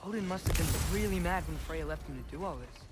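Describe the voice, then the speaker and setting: An actor playing a curious, wry young son in a video game, casual and inquisitive. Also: male voice, on the high side, lively intonation, fast talker, over loud music, natural voice.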